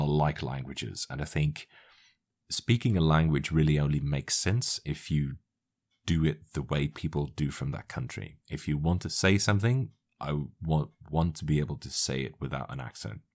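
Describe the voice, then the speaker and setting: Very deep-voiced man with Dutch-tinged British accent. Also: moderate pace, darkish tone. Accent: Dutch-tinged British English. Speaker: YouTuber guest. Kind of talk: interview conversation.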